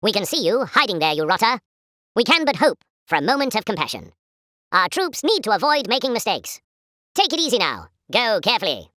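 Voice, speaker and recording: Casting: a jolly British 1940s newsreel announcer for a war game, pompous and patriotic, bright forceful tone, swooping theatrical delivery. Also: female voice, medium pitch, dry recording, clean studio sound. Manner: pompous, formal, chipper propaganda delivery.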